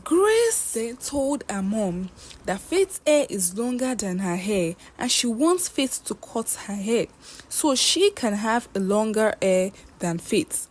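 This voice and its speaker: Slow, expressive woman storyteller. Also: fairly high pitch. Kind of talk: scripted folktale narration.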